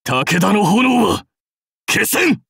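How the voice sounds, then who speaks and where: An actor playing a proud, fierce warlord general in a mobile game, terse, rough and commanding. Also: male voice, medium pitch, lively intonation, slow pace, no room sound, studio quality. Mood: fierce battle shouting. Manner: terse, rough, declamatory delivery. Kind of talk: anime-style mobile game battle and dialogue voice lines.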